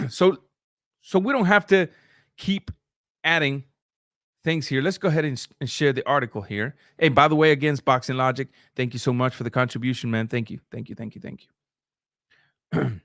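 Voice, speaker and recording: A man's voice: mid-range voice, moderate pace, pauses often, fairly bright tone, dry recording, clean studio sound. A middle-aged man whose first language is Spanish, hosting a live boxing stream in loose, conversational talk with restarts and filler sounds. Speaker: boxing channel host. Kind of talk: live-stream commentary, reading super chats.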